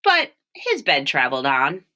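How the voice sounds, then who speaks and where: A woman reads a storybook aloud for children. Her voice is bright and her pitch moves in lively swings. She takes her time, leaning expressively on key words. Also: measured pace. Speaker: storybook reader, teacher reading for home learning.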